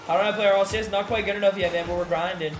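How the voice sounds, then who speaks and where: A man's voice: high voice, steady tone, rapid-fire, faint music behind. A young man streaming live, chatting casually with his viewers and reading their messages aloud, in a laid-back, filler-heavy way. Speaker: gaming streamer.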